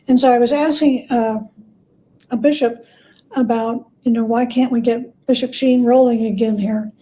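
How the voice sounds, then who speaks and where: Female expert guest, soft mellow tone set fairly high, measured conversational explainer who pauses between phrases. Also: fairly even intonation, low-quality recording. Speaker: interview guest, author and scholar.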